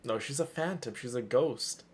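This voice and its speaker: Very low-voiced woman reacting to a game. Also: moderate pace, darkish tone, breathy edge. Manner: musing, repeats game dialogue. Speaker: game streamer. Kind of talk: casual gameplay reaction. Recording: game music behind the voice.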